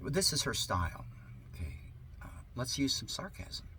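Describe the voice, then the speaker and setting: A man with a medium-pitched voice that swings widely up and down. He speaks as an online host, halting yet emphatic and theatrical. There is some noise behind him. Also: very animated, sharp tone. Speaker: online host introducing and reading a satirical article. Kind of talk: rambling talk and dramatic sarcastic reading. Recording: noticeable background noise.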